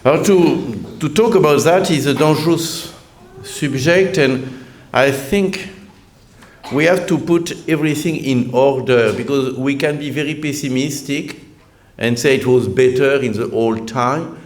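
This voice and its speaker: A middle-aged priest with a French accent speaks slowly and deliberately, pausing often and restarting his phrases as he lectures. His pitch sits in an ordinary male middle range. His humor is dry and self-deprecating. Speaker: priest giving a conference talk. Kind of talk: lecture-style sermon.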